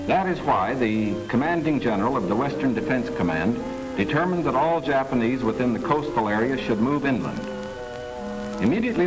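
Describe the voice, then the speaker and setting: An American man reading scripted 1940s wartime documentary narration in a measured, formal manner. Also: mid-range voice, poor audio. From United States. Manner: measured, formal, declarative delivery. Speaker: government film narrator. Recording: 1940s film soundtrack with music underneath. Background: music.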